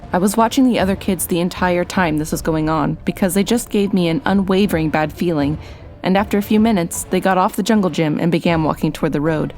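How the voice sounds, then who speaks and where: A woman narrates a first-person scary story from a script. Her voice sits a little low for a woman. Faint music plays behind her. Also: a little fast, a little mellow.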